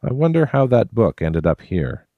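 A wry, easygoing prospector man with a low, unhurried voice, as the narrator puts him on. He muses half-jokingly, recorded close and dry. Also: very animated, no room sound, phone-quality sound. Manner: wry, musing.

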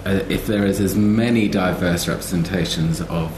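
A man speaking earnestly and haltingly as a panellist in a live discussion. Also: low voice. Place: live festival panel in echoey room. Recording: echoey room, faint noise.

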